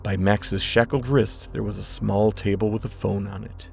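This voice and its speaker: A man with a gravelly voice reads a dramatic story in a slow, grave, deliberate manner as narrator. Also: on the low side, steady tone, faint music behind.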